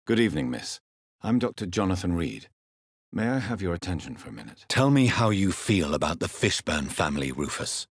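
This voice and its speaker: A man playing an earnest, courteous English gentleman doctor in a video game, speaking formally and asking measured questions. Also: sits low, very animated, slightly rough, no room sound, studio quality, full character voice. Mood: polite, questioning. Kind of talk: video game character dialogue.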